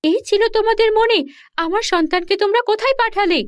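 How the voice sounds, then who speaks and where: A kind young fairy queen in a storybook cartoon, as a woman puts her on. She speaks earnestly and with feeling, her voice high and bright. The recording is dry and close. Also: a little fast, dry recording. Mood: distressed. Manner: earnest, pleading, emotional. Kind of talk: Bengali cartoon dub.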